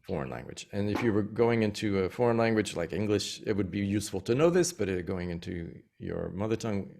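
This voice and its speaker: A low-pitched man with a gravelly edge to his voice, coaching interpreters. He explains each point patiently and with encouragement. He is teaching over a video call. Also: lively intonation, brisk, darkish tone, a little hiss or hum. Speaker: interpreting instructor. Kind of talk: teaching an online practice session.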